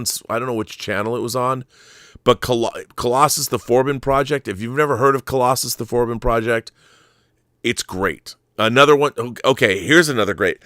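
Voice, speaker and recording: Smooth, low-voiced middle-aged man, enthusiastic rambler. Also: a bit bright, dry recording, studio quality. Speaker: show host. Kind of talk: casual film commentary monologue.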